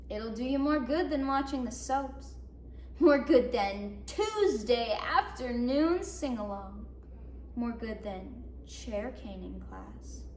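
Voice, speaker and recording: A young woman performing a dramatic monologue as a bitter, wry psychiatric patient, with sardonic, theatrical emphasis that moves between hushed and loud. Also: high-pitched. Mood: wry, confiding. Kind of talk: dramatic monologue, competition interpretation. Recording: roomy echo, faint noise, distant mic.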